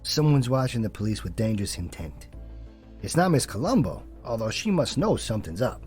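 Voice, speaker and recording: Detective role for audio drama, male actor: excitable, streetwise, earnest, very lively intonation, medium pitch. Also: brisk, faint music behind, lightly acted. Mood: reasoning, earnest. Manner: animated, earnest, colourful slangy phrasing. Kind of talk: noir audio drama dialogue.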